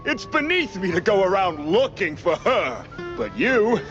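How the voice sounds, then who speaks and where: Smug jealous mouse husband, high swoopy voice, sleazy, a bit muffled. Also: male voice, wildly swooping pitch, unhurried, fairly bright tone, heavily acted. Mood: smug, scheming. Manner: smug, wheedling. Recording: muffled, faint guitar music behind.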